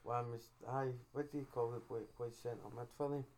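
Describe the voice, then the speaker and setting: A man's voice: medium pitch, fairly even intonation, fairly quick, a little mellow. A man with a Scottish accent co-hosting a podcast, giving matter-of-fact opinions in casual chat. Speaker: podcast co-host.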